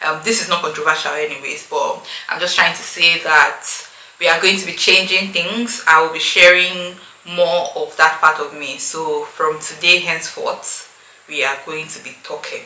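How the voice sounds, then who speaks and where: A woman's voice: deep voice, level tone, normal speed, pushed and bright. A woman with a Nigerian accent talking casually and emphatically to her viewers as an opinionated YouTube host. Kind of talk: casual opinionated talk to camera. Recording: echoey room, faint background noise.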